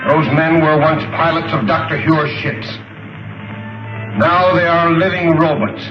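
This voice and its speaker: An actor playing a menacing gangster tyrant in an old film serial, speaking in a formal, deliberate, commanding way. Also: male voice, a little high, level tone, natural voice. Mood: cold menace. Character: menacing male tyrant of a gangster army, coldly threatening. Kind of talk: 1930s film serial acting. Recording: muffled old film soundtrack, roomy, music behind.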